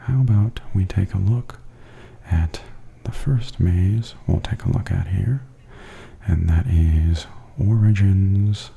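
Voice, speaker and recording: A man giving softly spoken ASMR tour commentary in a breathy near-whisper, gentle, unhurried and soothing. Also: low-pitched, slight background noise. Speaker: host and tour guide.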